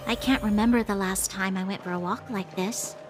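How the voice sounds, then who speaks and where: An actress playing an earnest, dutiful young female officer in a video game, speaking in a measured, formal, reflective way. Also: medium pitch, steady tone, natural voice. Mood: wistful. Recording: faint music underneath.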